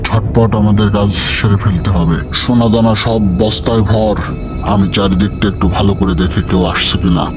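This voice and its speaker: Low gruff bandit boss barking orders, cartoon music under it. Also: male voice, wildly swooping pitch, normal speed. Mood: urgent. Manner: commanding, brusque orders. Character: gruff male bandit chief, bossy and wary. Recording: cartoon dub with loud music bed.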